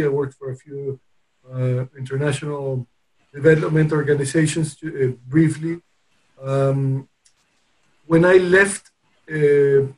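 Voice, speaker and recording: A man from Peru speaking as an interview guest, telling his story in a hesitant way with many pauses and restarts. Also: on the high side, a little mellow, rough recording. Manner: hesitant, with frequent restarts and pauses while recounting. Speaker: interview guest, company founder. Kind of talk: casual interview conversation.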